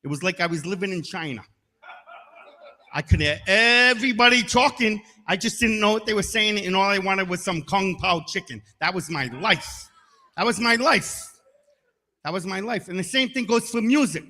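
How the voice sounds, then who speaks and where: High, bright-voiced middle-aged male comic. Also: normal speed, in a large hall. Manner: punchy, deadpan asides, bursts into loud shouted singing. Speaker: stand-up comedian. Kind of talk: stand-up comedy set. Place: comedy stage.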